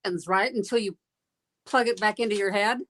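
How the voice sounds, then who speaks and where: A woman's voice: mid-range voice, a little fast, a bit bright. A woman who sings and drums, talking in a casual roundtable chat in an emphatic, self-described pushy way. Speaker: singer and drummer. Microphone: video call.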